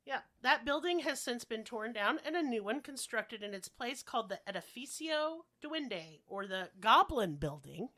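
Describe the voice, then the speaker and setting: A woman's voice: a little high, animated, moderate pace, hardly any pauses, bright, forceful tone. A woman hosting a podcast while sick, telling stories in a casual, chatty, joking way with slang and swearing. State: sick, coughing.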